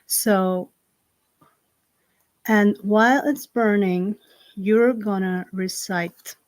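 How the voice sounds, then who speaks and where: A woman with a Slovak accent, a native Slovak speaker, hosting a live-streamed ritual from home and giving slow, gentle instructions full of fillers. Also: medium pitch, steady tone, no echo, rough recording. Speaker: spiritual ritual host. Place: home in the south of France. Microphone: computer on a live stream.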